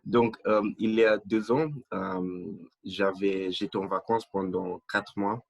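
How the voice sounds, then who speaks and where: A man's voice: sits low, steady tone, takes it slowly, creaky, no room sound. A young man from Nigeria, a podcast guest, talking hesitantly with many restarts and fillers. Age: young adult. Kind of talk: casual interview chat.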